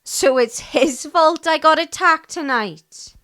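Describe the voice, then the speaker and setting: Woman playing a high-voiced, slow-speaking feisty student. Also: sharp tone, dry recording, exaggerated voice. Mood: indignant. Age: young adult. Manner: questioning, blunt. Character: Sophie, astonished feisty young female student. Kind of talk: audio drama acting.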